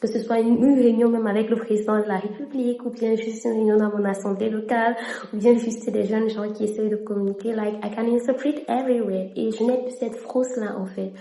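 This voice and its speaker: A woman's voice: on the high side, fairly even intonation, brisk, warm tone. A young woman from Cameroon, a French native speaker, telling her story to camera in a casual vlog and slipping into English mid-sentence. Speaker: vlogger and interpreter. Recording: echoey room.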